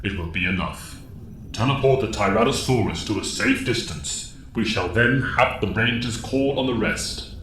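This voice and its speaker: A man playing a wise, commanding mentor living inside a computer, speaking in a grave, measured, formal way in an audio drama. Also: on the low side, fairly bright tone, reverberant, a little hiss or hum, close to an everyday voice, poor audio.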